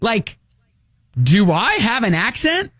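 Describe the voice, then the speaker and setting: A man from Ohio co-hosting a radio show, telling a story in a casual on-air chat with a slightly nasal voice and lots of quick restarts. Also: high voice, wildly swooping pitch, fairly bright tone, no room sound, studio quality.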